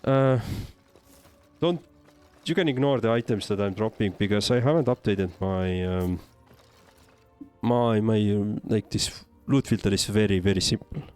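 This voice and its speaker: A man with an Estonian accent speaks in a fairly low voice over faint music. He is commentating on a game for a livestream, casually responding to chat. His delivery is halting and hesitant as he works out his thoughts aloud. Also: a little mellow. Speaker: game streamer.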